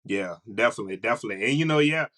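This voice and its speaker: A man's voice: mid-range voice, lively intonation, rapid-fire, fairly bright tone. A man with a Southern US accent hosting a podcast, talking casually and repeating phrases as he builds his point. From Louisiana, USA. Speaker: podcast host. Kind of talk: casual conversational podcast talk.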